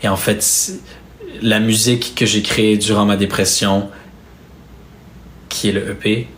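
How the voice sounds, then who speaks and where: A young Québécois man talking on a live stream in a low, flat voice. He speaks softly and hesitantly, and a faint room hum sits behind him. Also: a little slow. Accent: Québécois French. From Quebec, Canada. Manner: soft-spoken, hesitant, with many fillers and restarts. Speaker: singer streaming live to fans. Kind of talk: casual live-stream chat. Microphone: phone or webcam on a live stream.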